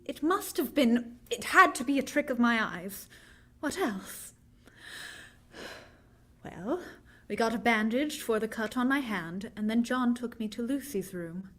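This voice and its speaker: A woman's voice: fairly high pitch, animated, measured pace, fairly bright tone. A woman playing an earnest, frightened young woman in a vintage-style radio drama, speaking with dramatic, anguished deliberation. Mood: haunted, recounting.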